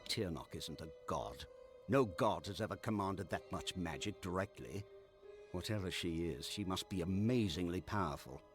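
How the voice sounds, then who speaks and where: A scholarly mage in a fantasy game. His fairly low male voice is articulate and intense, with a dramatic, deliberate delivery and music playing behind it. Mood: fascinated. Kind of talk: video game character dialogue.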